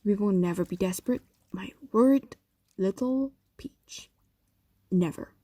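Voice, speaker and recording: A woman's voice: mid-range voice, takes it slowly, dark tone, no room sound. A woman voicing an ancient, doting and possessive fox demon in an intimate audio roleplay, coaxing and explaining with hesitations and whispers. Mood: firm, devoted. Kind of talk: intimate audio roleplay acting, addressed to a lover.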